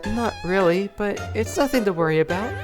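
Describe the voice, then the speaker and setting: A woman's voice: mid-range voice, lively intonation, brisk, music in the background, natural voice. A woman playing a shy, self-doubting young model in a fan audio drama, hesitant and stammering. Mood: shy, unsure. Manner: hesitant, soft-spoken, stammering.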